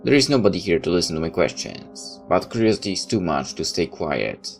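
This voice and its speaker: A man's voice: low voice, big pitch swings, brisk, creaky. A man reading a visual novel aloud in first person, sometimes stepping out of the narration for casual comments. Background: background music.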